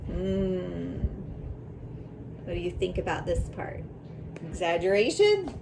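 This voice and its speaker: A woman reading a children's picture book aloud like a teacher, in a deliberate way, pausing to reflect and ask questions. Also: on the low side, very animated, vocal fry, noisy background.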